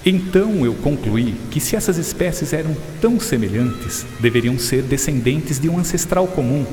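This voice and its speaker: An actor playing a patient, earnest English gentleman naturalist who explains things and drops in English interjections, in an educational radio drama. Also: a little high, animated, moderate pace, lightly acted. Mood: earnest, explanatory. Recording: reverberant hall sound with music underneath.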